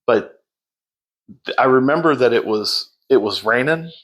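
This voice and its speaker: A man telling his story as a podcast guest in a loud, projecting voice, unhurried, with many fillers and restarts. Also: mid-range voice, animated. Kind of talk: casual storytelling.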